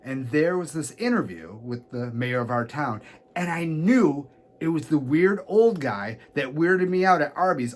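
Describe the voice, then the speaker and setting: A man who works as a pro wrestler, reading listener letters aloud as a show guest. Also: on the high side. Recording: noisy background.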